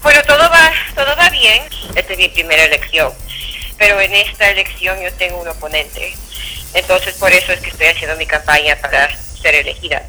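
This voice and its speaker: A very bright, forceful woman in her thirties from Peru, answering an interviewer's questions as a judge. She speaks in a halting way, full of fillers and self-corrections. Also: fairly low pitch, animated, faint music behind, poor audio.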